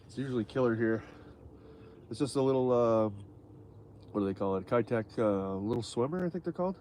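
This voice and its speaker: A man's voice: mid-range voice, a little fast, darkish tone, slight background noise. A man with a stuffy nose, casually talking through a live fishing stream from out on a lake, with lots of fillers and restarts. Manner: rambling, many fillers and restarts. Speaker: fishing livestream host.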